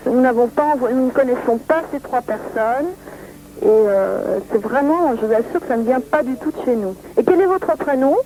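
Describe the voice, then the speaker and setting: A woman's voice: high voice, normal speed, warm tone. Insistent woman on a noisy phone line. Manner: earnest, insistent, repeats herself. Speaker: magazine journalist. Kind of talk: phone conversation.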